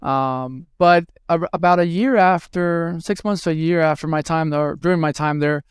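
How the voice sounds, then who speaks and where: A man's voice: on the high side, steady tone, moderate pace, clear, resonant tone, no echo, studio quality. A man in his thirties from Venezuela, a guest answering interview questions with many fillers and restarts. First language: Spanish. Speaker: guest, hospitality tech executive.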